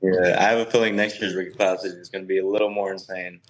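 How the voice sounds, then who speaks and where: A man's voice: sits low, level tone, fast talker, rough recording. A man speaking casually as a guest player being interviewed on a podcast.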